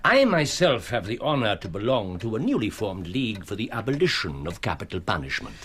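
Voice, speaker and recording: An actor playing a florid, ironic humanist gentleman with a theatrical, oratorical delivery in a radio drama. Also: lowish voice, sing-song, fairly quick, a little hiss or hum. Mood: indignant irony.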